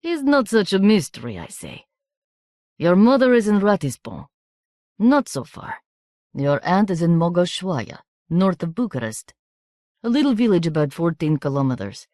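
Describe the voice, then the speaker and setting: A woman's voice: fairly low pitch, sing-song, darkish tone, dry recording, clean studio sound. A woman doing a sly thin boy. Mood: knowing. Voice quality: sibilant, harsh accent. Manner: halting, oddly formal phrasing. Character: thin foreign teenage boy, sly and knowing. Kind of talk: audiobook character voice.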